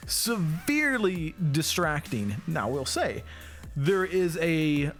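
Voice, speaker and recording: A man's voice: fairly high pitch, very animated, slow, fairly bright tone, soft background music. A man chatting informally as a film review co-host, with loose, slangy wording. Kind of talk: casual review chat.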